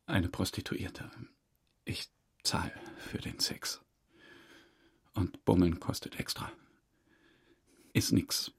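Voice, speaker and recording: Husky, evasive, embarrassed male suspect. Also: low voice, heavily acted. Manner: halting, defensive. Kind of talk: radio drama interrogation dialogue.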